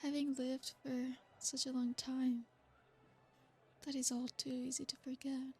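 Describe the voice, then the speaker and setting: A woman voicing an ancient, possessive royal vampire in an ASMR roleplay. She speaks slowly and seductively, with soft whispered asides. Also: high-pitched, flat delivery, exaggerated voice. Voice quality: breathy, soft-spoken. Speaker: ASMR creator.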